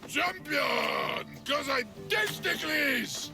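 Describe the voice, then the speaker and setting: An actor voicing a dim, boastful, childish muscle-bound champion wrestler in a comic video game role, blustering in broken grammar. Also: male voice, very high voice, lively intonation, drawn-out words, vocal fry, music in the background, exaggerated voice. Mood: excited bluster.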